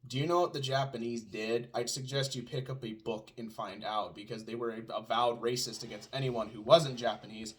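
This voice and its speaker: A man's voice: mid-range voice, fairly even intonation, fairly quick, few pauses. A man with a pronounced Southern US twang, ranting sarcastically at home in a casual chat with his wife. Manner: sarcastic, ranting, answers his own mock questions. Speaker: podcast co-host.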